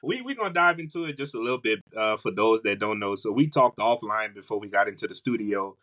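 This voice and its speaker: A man's voice: on the low side, lively intonation, fast talker, fairly bright tone. A man with a Southern US accent hosting a podcast, talking casually and repeating phrases as he builds his point. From Louisiana, USA. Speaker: podcast host. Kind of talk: casual conversational podcast talk.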